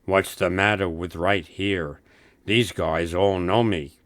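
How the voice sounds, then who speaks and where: Man acting stubborn ordinary guy, blunt, informal, low pitch, training-film role play, dry sound. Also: fairly even intonation, slow, a bit bright, dry recording. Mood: blunt, offhand. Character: casual, stubborn male respondent among his pals.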